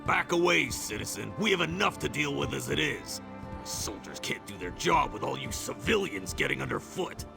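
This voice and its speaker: An actor playing a gruff, suspicious soldier captain in a video game, with a stern, sarcastic, commanding manner and a gravelly voice. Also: male voice, mid-range voice, big pitch swings, moderate pace, music in the background. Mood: stern, impatient. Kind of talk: video game character acting.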